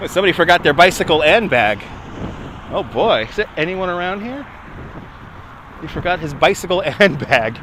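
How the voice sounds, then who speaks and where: A man's voice: high voice, noisy background. A man with a New York accent, chatting casually with viewers during a live walking stream outdoors on a waterfront, recorded on a phone on a gimbal. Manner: chatty, answering viewers, quick laughs. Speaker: livestream host. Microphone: phone on a handheld gimbal.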